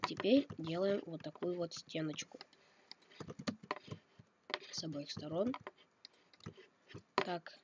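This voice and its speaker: A man's voice: on the high side, takes it slowly, long pauses. A slightly ill man giving a casual game tutorial, thinking aloud and correcting himself, coughing now and then. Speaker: game tutorial video maker. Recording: dull lo-fi mic with noise. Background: keyboard and mouse clicks.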